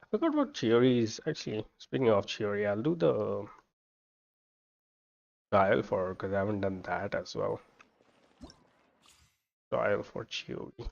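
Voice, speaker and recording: Male streamer, gravelly and musing, casual play-by-play, slightly muffled recording. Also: lowish voice, animated, unhurried, long pauses, a little mellow. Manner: musing, thinking aloud while playing. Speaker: game streamer. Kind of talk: casual gameplay commentary. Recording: dull lo-fi microphone sound. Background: game music.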